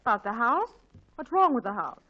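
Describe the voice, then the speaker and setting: A woman playing a patient, dry-witted mother in a 1940s radio comedy, with a deadpan, teasing manner. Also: on the high side, a little fast. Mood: wry. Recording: muffled 1940s radio recording.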